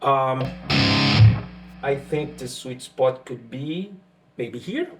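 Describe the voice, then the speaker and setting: A man's voice: medium pitch, drawn-out words, rough recording. A man from Brazil with a Brazilian accent and Portuguese as his first language, chatting casually as he explains his guitar rig. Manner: relaxed and chatty, with frequent fillers and restarts. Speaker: guitarist demoing gear. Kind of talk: casual gear demo talk.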